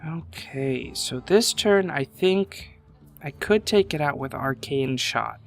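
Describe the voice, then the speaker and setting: A man commentating his card game match casually, thinking aloud at an unhurried pace, his voice a little congested from a sinus infection. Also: medium pitch, animated, gravelly, faint music behind.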